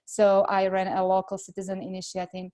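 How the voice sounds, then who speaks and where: A middle-aged woman from Slovakia with a Slovak accent, answering interview questions earnestly and filling pauses with "uh.". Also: medium pitch. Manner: earnest, steady, frequent "uh" fillers. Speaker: congressional candidate.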